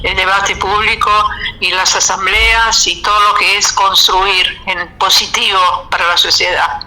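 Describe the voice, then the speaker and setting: A woman talks on a radio show as a guest, thinking aloud with frequent pauses and restarts. Her tone is very bright and clear, and her pitch sits in a middling range. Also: flat delivery, low-quality recording. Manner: measured, hesitant with many fillers and restarts. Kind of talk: radio interview, reflective. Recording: radio studio.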